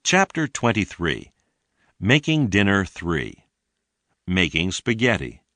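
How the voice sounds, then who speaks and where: A man reading a scripted English lesson in a deliberate, clearly enunciated way. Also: sits low, wildly swooping pitch, pushed and bright, no room sound. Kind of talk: scripted read-along narration.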